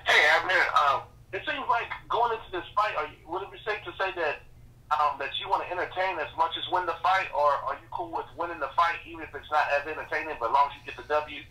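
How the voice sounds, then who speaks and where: A man's voice: on the high side, quick pace, sharp tone, distant mic. A man working as a sports reporter, asking casual, slangy, stumbling questions over a thin telephone line.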